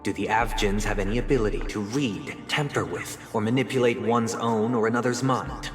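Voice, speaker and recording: An actor playing a stern, fastidious, pious man of authority in a video game, speaking formally, deliberately and with condescension. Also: fairly low pitch, lightly acted. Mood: solemn, menacing. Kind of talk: dramatic video game cutscene acting. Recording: long reverb, loud music behind. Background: game soundtrack music.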